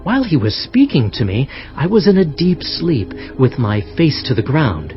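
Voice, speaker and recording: An earnest prophet recounts scripture in a solemn, expressive way at a medium pitch. The recording is a bit dull, with music in the background. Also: male voice, normal speed. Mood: solemn, awed. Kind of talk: dramatized scripture narration.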